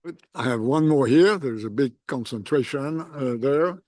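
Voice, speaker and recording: This is a man with a mellow, mid-pitched voice and very little echo. He moderates a conference session informally, giving out turns for questions. He is polite and halting as he goes. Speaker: panel moderator. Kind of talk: informal handling of audience questions.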